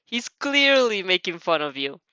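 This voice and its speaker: A woman's voice: mid-range voice, sing-song, moderate pace, pushed and bright, dry recording, lo-fi sound. A woman narrating fan fiction expressively, giggly and tripping over words, with spontaneous reactions.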